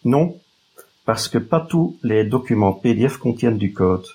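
A man's voice: medium pitch, animated, a little slow, warm tone, breathy edge. A Flemish man, a native Dutch speaker with a Flemish accent, explaining as an expert guest and often searching for words. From Flanders, Belgium. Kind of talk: explanatory interview talk.

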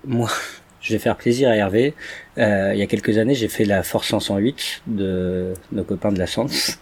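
Hesitant French-speaking man, husky voice. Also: lowish voice, darkish tone. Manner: hesitant, many fillers and restarts. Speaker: podcast guest, cybersecurity director. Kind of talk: casual podcast discussion.

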